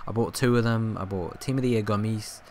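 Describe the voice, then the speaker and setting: A young man with a hoarse, fading voice, chatting casually over his football game footage, rambling with lots of ums and restarts. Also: lowish voice, steady tone, a little fast, a little mellow, slight background noise. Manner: rambling, filler-heavy, self-correcting. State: hoarse, coughing, voice going. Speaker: gaming YouTuber. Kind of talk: casual gameplay commentary.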